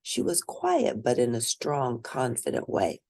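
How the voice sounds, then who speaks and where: A woman narrates a picture book for children in a deliberate, teacherly manner. Her intonation is very animated, rising and dipping widely. The sound is dry, with very little room around the voice. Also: rumbling low, gravelly, dry recording. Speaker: children's read-aloud narrator and educator. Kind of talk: picture book read-aloud with asides to young listeners.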